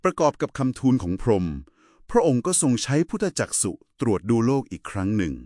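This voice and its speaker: A man's voice: mid-range voice, very animated, a little fast, a little mellow, no room sound, studio quality. A man giving a polite, didactic explanation in a conversational discussion of Buddhist scripture. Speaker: explainer in a two-person discussion.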